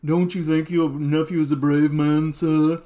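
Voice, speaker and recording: A man's voice: a little high, flat delivery, unhurried, warm tone, pitched above the performer's own voice, natural voice. A man voicing a dutiful butler from a comic book, formal and polite toward his master. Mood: deferential. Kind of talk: comic book character read aloud.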